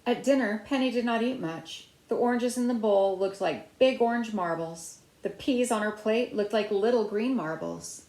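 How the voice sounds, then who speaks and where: A woman's voice: on the high side, moderate pace, few pauses, darkish tone. Woman reading a storybook in a roomy space. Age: middle-aged. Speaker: storybook reader. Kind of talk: children's picture book read-aloud. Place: small room at home by a window, indoors. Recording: roomy, echoey indoor sound.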